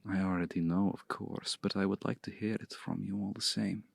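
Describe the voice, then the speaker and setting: A man playing a seductive, commanding male vampire in an intimate ASMR roleplay, speaking slowly and deliberately in a hushed, breathy voice close to the mic. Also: fairly even intonation, no echo, exaggerated voice. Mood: smug, teasing. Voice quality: low, gravelly, breathy. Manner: slow, deliberate, hushed, often breathy near-whisper. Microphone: close mic, dry.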